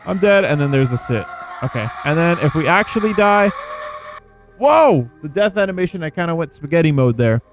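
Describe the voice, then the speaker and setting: A man's voice: fairly high pitch, big pitch swings, moderate pace. A man hosting a gaming video with casual, rambling commentary, playful and full of informal slang. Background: background music.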